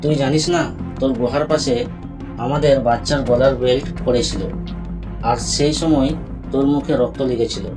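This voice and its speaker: A man voicing a grieving, vengeful father goat in a cartoon animal fable, speaking in a measured, stern way. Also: medium pitch, fairly even intonation, music in the background, natural voice. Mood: accusing.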